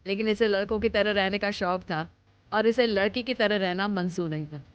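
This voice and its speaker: A woman's voice: medium pitch, normal speed, clear, resonant tone, no echo, close to an everyday voice. A woman voicing a devoted, affectionate young husband in a cartoon moral story. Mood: explaining. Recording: dull lo-fi sound.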